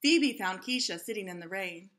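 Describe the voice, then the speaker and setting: A woman reading a children's story aloud like a teacher, animatedly, pausing to question and explain things to young listeners. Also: medium pitch, animated, a bit bright.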